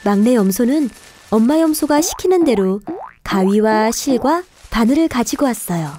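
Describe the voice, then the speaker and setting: A woman narrator with a fairly high, mellow voice. She reads a children's fairy tale with careful storytelling phrasing, over faint music. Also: lively intonation, moderate pace.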